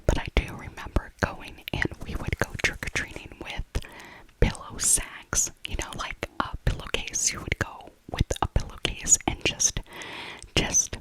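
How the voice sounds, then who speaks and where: A middle-aged American woman speaking in a soft, breathy whisper, chatting nostalgically in a casual ASMR voiceover and often repeating her phrases. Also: unhurried, poor audio. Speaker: ASMR video creator.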